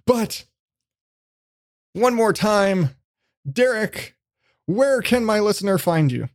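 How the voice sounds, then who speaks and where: A man's voice: high-pitched, lively intonation, slow, no echo, clean studio sound. A man hosting a podcast, chatting in a casual, rambling way with jokey, self-deprecating, profane remarks. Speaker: podcast host. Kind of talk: casual, rambling interview chat.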